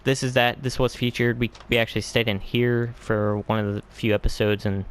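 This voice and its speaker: A man's voice: mid-range voice, flat delivery, fairly quick, smooth. A man giving a casual, rambling game tour as video host, hesitant and often trailing off into vague asides.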